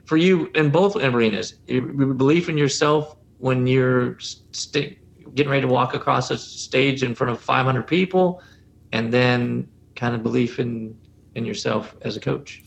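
Man, podcast guest, coach, gravelly voice, slow thoughtful questions. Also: mid-range voice, a little hiss or hum, rough recording. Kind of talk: casual podcast conversation.

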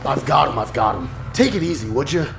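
A weary, prickly young man in an audio drama. His mid-pitched voice has plenty of fry, and he talks with surly sarcasm. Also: animated, fast talker, music in the background. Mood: reluctant, worried.

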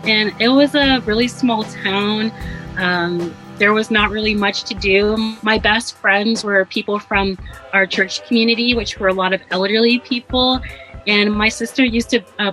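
A young woman guest speaks in a relaxed, chatty way, dotted with fillers and easy laughter. Her voice is bright and forward. Faint music sits low in the background. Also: poor audio. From Nigeria, raised in Ohio. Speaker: guest, social justice advocate. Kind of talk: casual interview.

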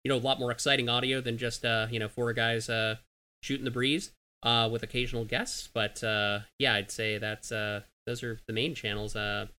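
A man answering interview questions in an upbeat, relaxed way. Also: mid-range voice, normal speed, smooth. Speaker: guest, gun group member.

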